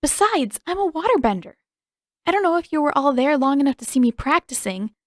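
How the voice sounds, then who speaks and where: An earnest teenage heroine in an audiobook fantasy, acted out by a woman narrator. Her voice is high and emphatic, matter-of-fact with an exasperated edge, in a dry, close recording. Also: very animated, a little fast, no room sound. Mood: defensive. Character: Katara, earnest, exasperated teenage girl waterbender. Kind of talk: audiobook character dialogue.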